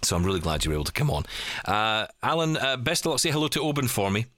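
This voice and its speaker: A man's voice: medium pitch, sing-song, quick pace, a bit bright, dry recording, clean studio sound. A Scottish man in his forties hosting a podcast, chatting casually and rambling with jokes. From Scotland. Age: 40s. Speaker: podcast host. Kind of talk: casual chat.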